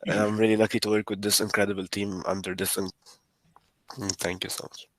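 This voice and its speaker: A man's voice: lowish voice, little pitch movement, brisk, a bit bright, a little hiss or hum, poor audio. A man from Egypt with an Egyptian accent, informally introducing himself on a video call. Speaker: AI team member.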